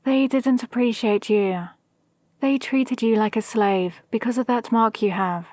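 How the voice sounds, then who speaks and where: A woman playing a calm, possibly mad villain sorceress in an ASMR roleplay, speaking to an apprentice in a measured, condescending, gently dominant way. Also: on the high side, no room sound, natural voice. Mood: knowing, gently dominant. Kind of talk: ASMR roleplay with whispered asides. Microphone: close, dry microphone.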